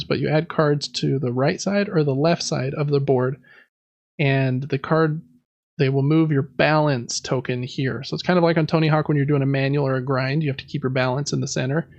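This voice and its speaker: A man's voice: fairly high pitch, gravelly, no room sound, muffled recording. A man co-hosting a board game podcast, chatting casually and explaining things in a conversational way. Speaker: podcast co-host.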